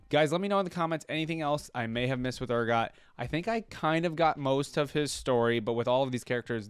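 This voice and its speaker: A man's voice: on the low side, smooth, no echo. A man hosting a reaction video, giving casual, conversational commentary that rambles with plenty of filler words.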